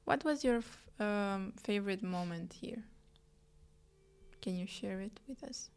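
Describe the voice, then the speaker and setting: A woman's voice: mid-range voice, measured pace, darkish tone, clean tone. A woman hosting a casual podcast interview, asking questions and backing the guest with frequent murmurs. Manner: encouraging, with frequent backchannel murmurs. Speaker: podcast host.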